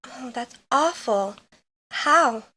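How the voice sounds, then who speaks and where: Girl playing worried bestie in an audio drama, highish voice, protective and caring. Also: animated, extremely slow, sharp tone, full character voice. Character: concerned, protective young female friend. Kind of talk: fan audio drama acting.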